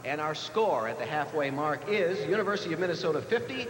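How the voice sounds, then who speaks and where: A man's voice: on the high side, lively intonation, fast talker, a crowd in the background. An American man hosting a live quiz show, reading out questions and ruling on answers. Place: large echoey hall, live televised competition. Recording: noisy, echoey 1980s TV broadcast in large hall.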